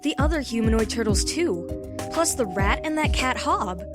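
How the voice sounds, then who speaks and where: A woman's voice: a little high, animated, moderate pace, over loud music, close to an everyday voice. A woman playing a young intern bluffing her way along, casual and persuasive, in a comic-book audio drama. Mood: confident bluffing. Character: young female intern, breezy undercover bluffer.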